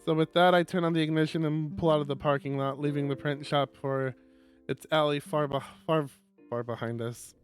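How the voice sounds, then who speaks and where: Guy streamer reading out a visual novel, dramatic narration, voice a little high, music under it. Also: fairly even intonation, fairly quick.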